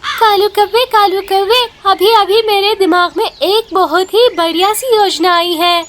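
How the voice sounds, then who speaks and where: A woman's voice: very high-pitched, measured pace, a bit bright, a little hiss or hum. A woman voicing a brave, scolding mother bird in a children's cartoon, emphatic and full of exclamations. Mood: confident. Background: music.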